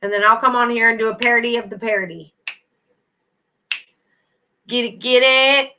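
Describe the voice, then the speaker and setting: A woman's voice: on the high side, fairly even intonation, moderate pace, pushed and bright, slight background noise, poor audio. A middle-aged woman hosting a casual livestream, chatting to viewers by name with endearments. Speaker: YouTube livestream host.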